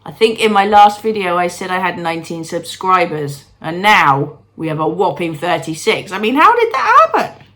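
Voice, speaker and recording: Stuffed-up lady talking at home, bit lower voice, bubbly and jokey. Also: big pitch swings, normal speed, fairly bright tone. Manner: bubbly, self-deprecating, talks to viewers. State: congested with a cold, sniffly. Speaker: candle-making vlogger. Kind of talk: chatty home vlog. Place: at home, moving between rooms.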